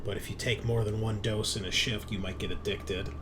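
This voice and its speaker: A man's voice: fairly low pitch, steady tone, a little fast. Man game-mastering over background noise. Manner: conversational, descriptive, self-correcting as he goes. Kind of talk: improvised narration of a tabletop sci-fi game.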